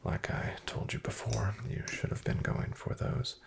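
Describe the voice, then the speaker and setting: A man playing a shady, wryly menacing back-alley casino dealer in a soft-spoken, breathy ASMR roleplay, with an unhurried drawl. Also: heavy background noise, close to an everyday voice. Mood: sly chiding. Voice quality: whispery, breathy, gravelly. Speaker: card game dealer in a roleplay.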